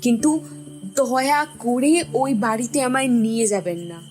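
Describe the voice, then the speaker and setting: A woman playing a desperate adulterous young wife in an audio drama, pleading and emotional, speaking haltingly. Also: fairly high pitch, darkish tone, faint music behind, lightly acted. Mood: despairing. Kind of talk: dramatised audio story acting.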